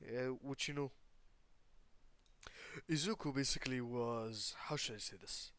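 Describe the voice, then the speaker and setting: A man telling improvised fan fiction in a casual, rambling, halting way, with some swearing as he goes. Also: mid-range voice, fairly bright tone, slight background noise. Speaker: storyteller and host of a fan what-if channel. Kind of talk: casual improvised retelling of anime fan fiction with acted dialogue.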